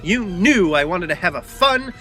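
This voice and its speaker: A man's voice: high-pitched, expressive, normal speed, bright, forceful tone, soft background music. A man playing a theatrical, bossy adventurer in an audio comedy, emphatic and arch in his delivery. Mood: indignant. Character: theatrical, bossy, indignant adventurer, fond of festive fun.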